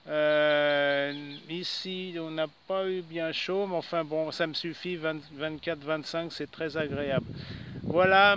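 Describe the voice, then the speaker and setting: A man's voice: high voice, bright, forceful tone. A man chatting hesitantly in a casual amateur radio contact, using call signs and frequent tag words. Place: outdoors in a city park. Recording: clearly noisy outdoor recording with clicks.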